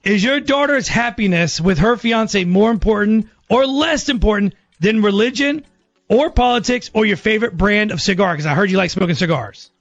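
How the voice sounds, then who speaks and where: A man's voice: high-pitched, moderate pace, highs cut off. An American man hosting a radio show, talking casually to callers in a phone-in prank segment. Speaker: radio host.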